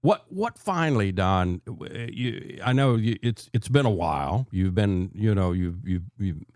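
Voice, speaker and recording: A man's voice: on the low side, animated, darkish tone, no echo, studio quality. An older man hosts a conversational radio interview, often restarting his phrases as he goes. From American South. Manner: halting, repeats phrase openings. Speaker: radio show host.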